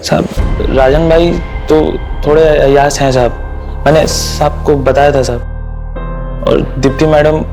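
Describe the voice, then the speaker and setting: An actor playing an aggrieved working-class helper in a TV drama, a man with an Indian accent who talks haltingly and deferentially. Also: on the high side, steady tone. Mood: nervous, deferential. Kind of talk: TV crime drama dialogue. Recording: loud background music under dialogue.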